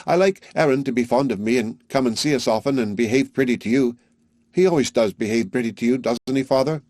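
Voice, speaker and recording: A man reading aloud, voicing an earnest, loving young village girl who talks gently and simply. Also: mid-range voice, a little fast, dry recording, studio quality, lightly acted. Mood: tender.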